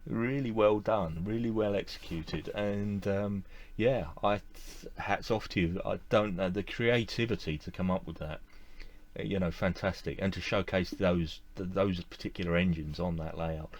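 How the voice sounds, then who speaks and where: A middle-aged man talking to the camera from a room with display shelves, recalling things he liked in a relaxed, enthusiastic way with lots of fillers and repeated words. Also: low-pitched, moderate pace. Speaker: model railway collector vlogger. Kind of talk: casual to-camera review.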